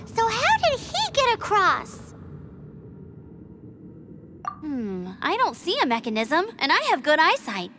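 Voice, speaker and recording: Brief: lively young female scout for a game, very high, swinging pitch, quick to take offense. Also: music in the background, full character voice. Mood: puzzled. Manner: brisk, earnest, easily riled. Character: spirited young female scout, eager and proud. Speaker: game character. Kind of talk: video game voice acting.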